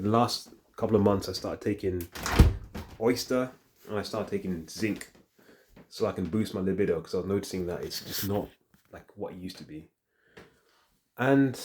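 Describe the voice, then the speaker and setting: A man with a deep voice talks casually to his phone in a rambling, halting vlog, thinking aloud as he goes. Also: a little mellow, a little hiss or hum. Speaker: fitness vlogger. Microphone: handheld phone.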